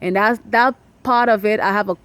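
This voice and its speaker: A woman's voice: medium pitch, steady tone, brisk, fairly bright tone, no room sound. A woman with a Liberian accent hosting a discussion interview, speaking earnestly and murmuring agreement often. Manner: talks earnestly, with many affirming murmurs. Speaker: host.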